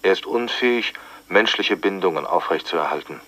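An actor playing a sober male psychiatrist who gives a formal assessment in a measured, matter-of-fact way. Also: deep voice, expressive, a bit bright, rough recording. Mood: sober, clinical. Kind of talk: formal expert report in radio drama.

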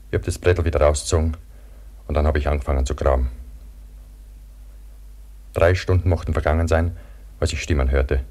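An actor playing a frightened man buried alive under avalanche snow, halting and brooding, in a German radio play. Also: extremely low pitch, a little mellow. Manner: halting, brooding inner narration breaking into desperate calls. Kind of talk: German radio play acting, monologue narration and dialogue.

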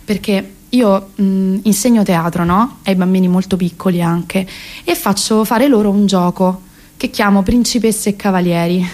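A woman's voice: medium pitch, steady tone, moderate pace, a little mellow, natural voice. An actress around thirty with a Roman Italian accent, playing a neurotic, rambling, self-ironic woman in a comic radio monologue. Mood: gushing, rambling.

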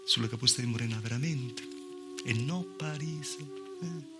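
A man's voice: mid-range voice, wildly swooping pitch, a little slow, music in the background. A man with a southern Italian accent playing a wry, plaintive village woman telling her story in a conversational theatrical monologue. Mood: wry, grim. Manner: conversational, punctuated with rhetorical "eh". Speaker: stage actor. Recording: live theatre recording with noise.

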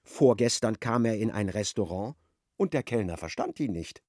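A man narrating a radio play in an expressive, literary storytelling style. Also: fairly low pitch, moderate pace, dry recording, clean studio sound.

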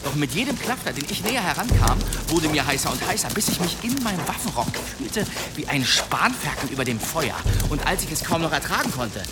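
Theatrical medieval demon hunter, music behind. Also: male voice, on the high side, big pitch swings, fairly quick, hardly any pauses. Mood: suspenseful, boastful. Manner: theatrical, suspenseful, courtly delivery. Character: boastful medieval demon hunter, a swordsman spinning dramatic tales. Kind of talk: German radio drama storytelling.

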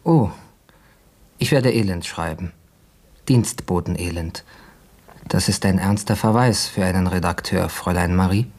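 An actor playing an earnest, courteous male newspaper editor in a German radio play, with formal, measured phrasing. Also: sits low, darkish tone, breathy edge, heavily acted.

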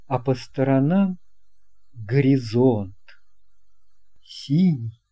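A narrator reading a children's story with deliberate, measured phrasing. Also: medium pitch, lively intonation, mellow. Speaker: audiobook narrator. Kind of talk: scripted narration of a children's tale.

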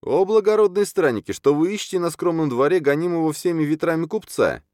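A man's voice: fairly high pitch, fairly quick, a little mellow, no echo, studio quality, pitched above the performer's own voice, lightly acted. A man voicing a frightened, obsequious merchant with flowery, pleading speech in a comic audiobook.

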